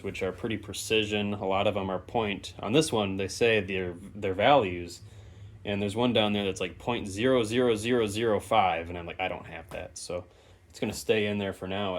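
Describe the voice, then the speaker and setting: A man with a fairly low voice talks through a hands-on repair. His delivery is unscripted and offhand, as if thinking aloud while he works. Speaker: hobbyist radio repairer and presenter. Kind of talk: casual unscripted repair narration. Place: stone-lined basement.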